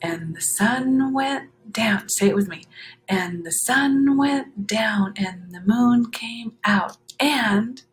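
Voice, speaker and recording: A woman reading a children's picture book aloud, expressive, with chatty explanatory asides to young listeners. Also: a little high, slow.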